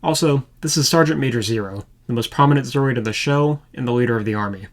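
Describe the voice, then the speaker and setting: A man's voice: mid-range voice, fast. Guy doing wry scripted review commentary, regular mid voice, bit of music under it. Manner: sarcastic, wry. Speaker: video reviewer. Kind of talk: scripted commentary over show clips.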